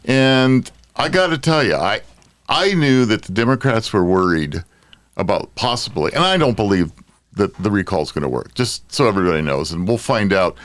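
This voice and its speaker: A man's voice: on the low side, very animated, normal speed, fairly bright tone, no echo. An American man hosting a casual podcast chat, bantering in an exasperated way. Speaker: podcast host.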